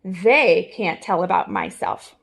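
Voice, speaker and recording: A woman's voice: fairly low pitch, wildly swooping pitch, slow, vocal fry, breathy edge, exaggerated voice. A woman voicing a stubborn, defensive young boy in a storybook, with an emphatic, insistent delivery.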